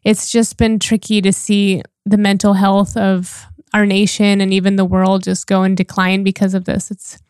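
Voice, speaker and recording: Woman, podcast host, encouraging, conversational, mid pitch, dry close recording. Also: little pitch movement, normal speed, hardly any pauses, darkish tone, clean tone, no echo. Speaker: podcast host, life and relationship coach. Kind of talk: casual podcast chat.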